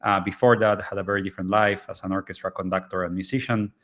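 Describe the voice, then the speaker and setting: A man's voice: low voice, little pitch movement, fast talker, fairly bright tone. A man originally from Argentina, speaking English as a startup founder in a live online interview, hesitant and full of fillers and restarts.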